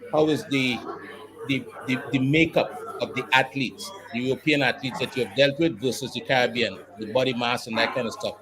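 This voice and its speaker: A man's voice: mid-range voice, poor audio. Male interviewer, crowd behind. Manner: halting multi-part questions with restarts. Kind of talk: sports interview.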